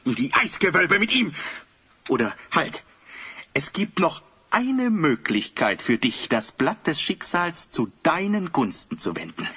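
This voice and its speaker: Sharp, husky, haughty tyrant. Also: male voice, a little high, very animated, unhurried, exaggerated voice. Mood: scornful, commanding. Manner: haughty, theatrical. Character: haughty, scornful, idle tyrant who despises ugliness. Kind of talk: German audio drama acting.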